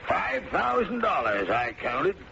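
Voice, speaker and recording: An actor playing a talkative, touchy old farmer with a folksy rural American drawl and creaky voice in an old-time radio drama. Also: male voice, sits high, huge pitch swings, slow pace, full character voice. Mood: proud, secretive. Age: older. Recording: muffled, noisy old radio recording.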